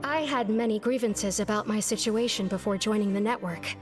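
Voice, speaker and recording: A woman's voice: medium pitch, fairly quick, music in the background, close to an everyday voice. A woman voicing an earnest, friendly reformed prisoner in a video game, speaking sincerely. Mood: grateful, sincere.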